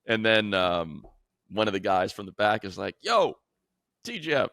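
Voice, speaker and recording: An American man chatting casually about sports as a co-host, telling slangy, animated stories. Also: lowish voice, a little slow, vocal fry, no room sound, studio quality. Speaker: sports radio play-by-play announcer and podcast co-host.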